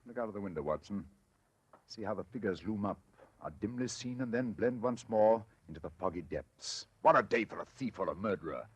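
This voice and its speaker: An actor playing a brilliant, aloof English detective in 1940s radio drama, crisp, theatrical and dryly ironic. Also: male voice, fairly low pitch, animated, a little fast, no room sound. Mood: brooding. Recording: dull vintage radio recording.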